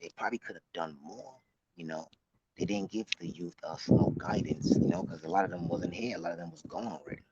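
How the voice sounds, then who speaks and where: A man of about thirty giving casual, slangy street commentary in a halting, repetitive way, recorded outdoors. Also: sits low, gravelly, a little mellow. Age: late 20s, about thirty. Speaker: vlog host. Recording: muffled, noisy outdoor recording with clicks.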